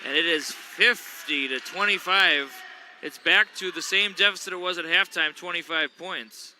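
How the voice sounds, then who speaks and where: A man's voice: a little high, moderate pace, pushed and bright, a little hiss or hum. A man doing chatty, joking play-by-play of a local school basketball game from the gym. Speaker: play-by-play announcer. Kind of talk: local sports commentary. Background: gym ambience, bouncing basketball.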